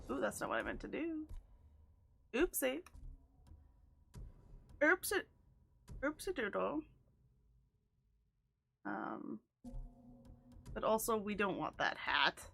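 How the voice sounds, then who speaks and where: A woman's voice: sits high, creaky, bright, forceful tone, a little hiss or hum. A woman streaming a video game, chatting casually and playfully, often laughing at herself. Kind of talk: casual gameplay commentary.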